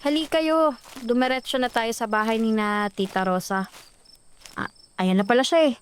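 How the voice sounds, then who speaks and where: A woman's voice: on the high side, moderate pace, slight background noise, lightly acted. A woman playing a sweet, secretive village girl. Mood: polite.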